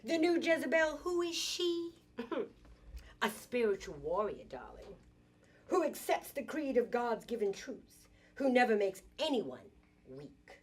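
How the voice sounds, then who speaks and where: A woman's voice: a little high, big pitch swings, slow pace, slightly rough, voice pushed up, heavily acted. A woman performing an improvised dramatic monologue as an indignant, sassy businesswoman confiding in a girlfriend, with theatrical emphasis. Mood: proud, triumphant.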